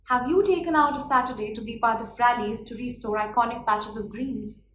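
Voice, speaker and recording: Young indian woman giving a passionate speech, bit high voice, echoey room. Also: fairly quick. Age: 20s. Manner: earnest, declamatory, rhetorical questions. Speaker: speaker. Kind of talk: prepared speech. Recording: echoey room, faint background noise.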